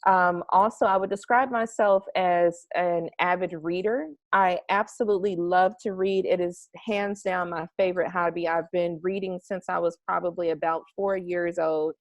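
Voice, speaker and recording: A woman's voice: fairly low pitch, fairly even intonation, normal speed, hardly any pauses, no echo. Upbeat young woman with a dry, close sound. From United States. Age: young adult. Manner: upbeat, eager, talks at length with fillers. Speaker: interview guest, marketing strategist. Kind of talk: casual interview over a call. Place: home garage.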